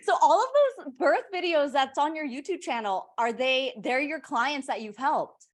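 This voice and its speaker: Soft-spoken woman, slightly high voice. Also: moderate pace. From Los Angeles, USA. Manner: soft, encouraging, many quiet "mm-hmm"s. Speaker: podcast host. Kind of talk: casual interview.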